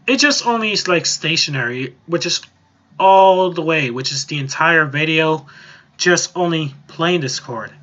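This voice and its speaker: A man with a fairly high, forceful and bright voice talks through a casual software tutorial. He explains in a rambling way, circling back over his points. The recording is a little dull and lo-fi. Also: animated, a little slow. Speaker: tutorial host.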